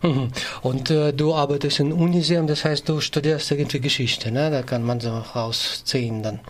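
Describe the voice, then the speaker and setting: A man hosting a live morning radio interview, improvising his questions haltingly with false starts and rephrasings. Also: medium pitch, fairly even intonation, slight background noise.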